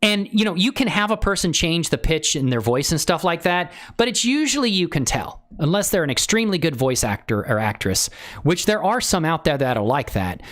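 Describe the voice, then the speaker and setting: Chatty streamer doing a tutorial, super lively pitch swings, casual and slangy, enthusiastic. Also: a little fast. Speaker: livestream host and tutorial creator. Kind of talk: casual livestream chat with software tutorial.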